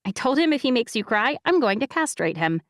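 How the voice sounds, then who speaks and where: A feisty little young woman, as a woman narrator puts her on, bright and high, who teases and threatens with a grin. Her lines bounce with lively pitch, read close to a dry microphone. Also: brisk, no echo. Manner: blunt, teasing, fiery. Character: sassy petite young woman friend.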